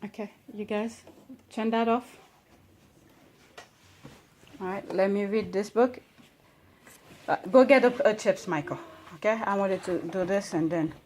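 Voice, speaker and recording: A woman's voice: mid-range voice, measured pace, long pauses, darkish tone, a little hiss or hum. A woman with an accent reading a history book aloud to her children at home, stretching key words and stopping often with "okay?" to check they understand. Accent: non-standard English accent.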